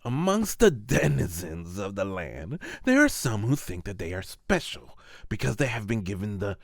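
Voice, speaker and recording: A man's voice: on the low side, big pitch swings, normal speed, dry recording, clean studio sound. A man, an amateur voice actor, reading scripted narration for a manga.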